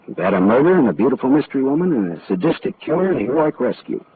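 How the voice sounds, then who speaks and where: A man's voice: medium pitch, big pitch swings, racing, natural voice. A man playing a wisecracking hard-boiled private detective, dry and clipped, in 1940s radio acting. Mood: wry. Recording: tinny old radio broadcast, faint hiss.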